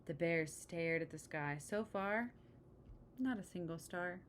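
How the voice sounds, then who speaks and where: A woman reading a children's picture book aloud, pausing for chatty asides and questions to the listener. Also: fairly low pitch, lively intonation, slight background noise. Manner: engaging, asks the listener questions. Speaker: storybook reader.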